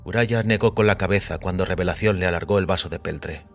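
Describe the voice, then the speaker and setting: A man narrating scripted audio fiction in a measured, literary delivery. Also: low voice, soft background music.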